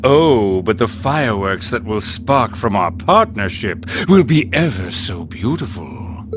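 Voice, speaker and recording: A man's voice: fairly low pitch, big pitch swings, a little slow, noisy background. An actor playing a theatrical, flamboyant game villain who savors his words with florid delivery. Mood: delighted.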